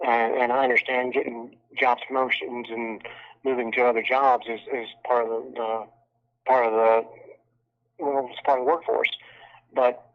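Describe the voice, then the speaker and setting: Male caller, average pitch, halting and concerned as he asks his question over a dull telephone line. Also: little pitch movement. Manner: hesitant, concerned. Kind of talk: spontaneous question on a public call.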